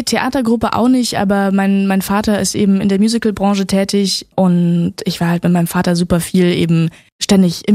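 A woman's voice: fairly low pitch, dry recording. Young woman, twenties, chatty interview guest, laughs a lot, dry close sound. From Switzerland, raised in Berlin. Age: 20s. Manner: chatty, laughs often, lively storytelling. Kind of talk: casual interview chat.

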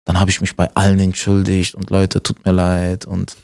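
A man's voice: deep voice, normal speed, gravelly. A man from Berlin with a Berlin accent, a comedian chatting casually and jokingly as a radio guest. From Germany. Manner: relaxed, joking, many fillers. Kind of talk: casual interview chat.

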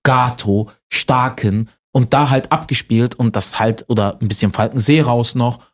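A man's voice: mid-range voice, fairly even intonation, normal speed. Jokey man in casual conversation. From Berlin, Germany. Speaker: stand-up comedian, interview guest. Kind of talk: casual chat.

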